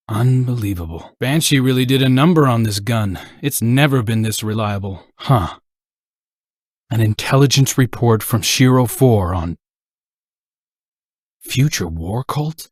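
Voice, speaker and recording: An easygoing, thoughtful warrior from a video game. His short lines are informal and musing, in a middle-pitched male voice with hardly any room sound. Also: no room sound, clean studio sound. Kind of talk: scripted game character barks.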